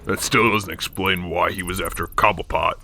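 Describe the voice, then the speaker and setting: Man playing a deep, gravelly brooding vigilante. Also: steady tone, normal speed, sharp tone, soft background music, exaggerated voice. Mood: grim, pondering. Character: brooding, grim masked vigilante detective, adult man. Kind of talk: radio drama acting and scripted narration.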